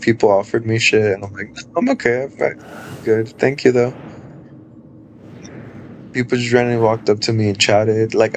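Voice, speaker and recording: A man in his thirties chatting casually on a live stream, loose and rambling with lots of fillers and a low speaking voice. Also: slight background noise. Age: 30s.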